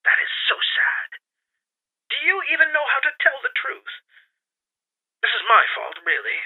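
Filtered phone-like message, male actor as betrayed captive, high-pitched, bitter, accusing, dramatic pauses, audio drama. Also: big pitch swings, exaggerated voice. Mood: wounded accusation. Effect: phone-like transmission filter. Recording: thin, band-limited recorded message sound.

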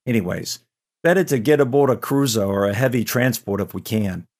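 A man's voice: mid-range voice, fairly quick, darkish tone, voice pushed down, close to an everyday voice. A man voicing a laconic, wary transport pilot in an audio story, casual and matter-of-fact. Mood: wary, practical. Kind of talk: audio story character dialogue.

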